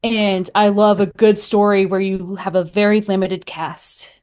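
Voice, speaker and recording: A woman's voice: medium pitch, little pitch movement, a little slow, darkish tone, clear, resonant tone. A woman giving her opinions as a podcast guest in casual chat, conversational and thinking aloud.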